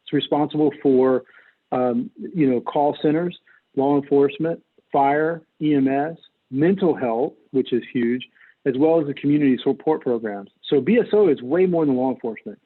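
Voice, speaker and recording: A middle-aged American man giving earnest, measured answers as a political candidate in an interview. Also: medium pitch, narrow, tinny audio. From North Carolina, United States. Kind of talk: interview answers, campaign talk.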